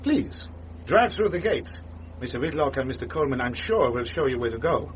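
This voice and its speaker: Suave, menacing German official, male actor, German accent, lively cadence, icy formal courtesy, radio play, slightly noisy sound. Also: mid-range voice, brisk, dull audio, natural voice. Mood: smug, polite. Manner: formal, smoothly polite. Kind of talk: radio drama acting.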